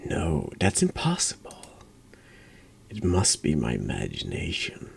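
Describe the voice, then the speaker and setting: Very deep, creaky, slow-spoken man. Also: wildly swooping pitch, slight background noise. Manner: hushed, slow, often slipping into whisper. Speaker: horror game streamer, narrator. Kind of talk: ASMR horror game read-aloud.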